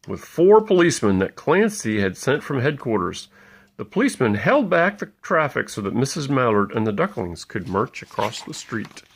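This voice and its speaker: A man reading a picture book aloud to young children, playful and expressive, pausing to point things out. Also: mid-range voice, gravelly, slightly rough. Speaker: parent reading a bedtime story aloud.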